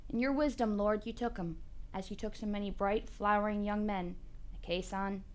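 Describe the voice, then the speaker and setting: A woman's voice: mid-range voice, fairly even intonation, moderate pace, natural voice. A woman performing a dramatic monologue as a solemn friend giving a eulogy. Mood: solemn, mournful.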